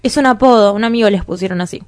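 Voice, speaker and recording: A woman's voice: medium pitch, quick pace, darkish tone, natural voice. A young Argentine woman playing a blunt, evasive, exasperated daughter and lover in a radio play, curt and offhand. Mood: impatient.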